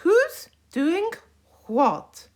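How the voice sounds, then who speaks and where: A woman's voice: very high-pitched, huge pitch swings, extremely slow, darkish tone, pitched above the performer's own voice, exaggerated voice. A woman reading a children's storybook, voicing a bossy, indignant old granny who scolds and commands. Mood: bossy, calling out. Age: older.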